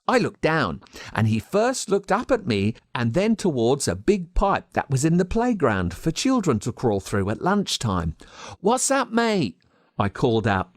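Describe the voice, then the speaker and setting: Animated Australian man narrating a children's story. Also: medium pitch, normal speed, no echo, studio quality. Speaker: audiobook narrator.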